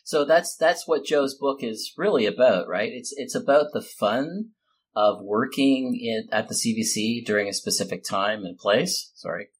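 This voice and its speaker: A man talks as the host of a loose, casual conversation. He is playful and teasing, quick to get the giggles, in an ordinary mid-range voice. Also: medium pitch, slightly rough. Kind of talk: casual chat.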